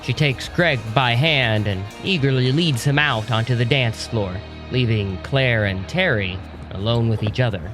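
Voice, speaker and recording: A man's voice: mid-range voice, moderate pace, few pauses. A man narrating an audio drama in a scripted storytelling style. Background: music.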